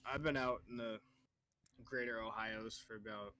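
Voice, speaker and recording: A man's voice: fairly low pitch, steady tone, moderate pace, pushed and bright, dry recording. A young man from Massachusetts co-hosting a podcast, telling easygoing stories and acting out bits of dialogue. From Massachusetts, USA. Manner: easygoing storyteller, acts out dialogue. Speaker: podcast co-host. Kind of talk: casual chat. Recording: slightly tinny mic with faint noise.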